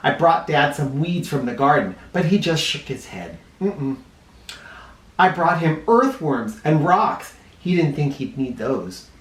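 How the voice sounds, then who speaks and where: A man reading a children's picture book aloud in an expressive way, with dramatic emphasis. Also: fairly high pitch, measured pace, hardly any pauses. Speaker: storybook reader for kids. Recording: roomy echo, distant mic.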